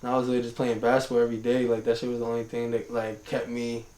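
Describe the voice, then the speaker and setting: A man's voice: mid-range voice, completely flat delivery, quick pace, darkish tone. A young man from Turkey, raised in Michigan and New York, talking as a podcast guest in slangy, rambling casual speech. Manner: slangy, rambling, goes on tangents, laughs often. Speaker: guest, hip hop artist. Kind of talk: casual interview chat. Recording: roomy room echo.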